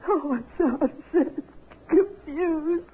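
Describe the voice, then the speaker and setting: An actress playing a fretful, fussy wife with theatrical delivery in a 1950s radio drama. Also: female voice, very high-pitched, slow pace. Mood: tearful, panicked. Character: fretful, scheming wife of a poisoned husband. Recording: scratchy, tinny 1950s radio recording.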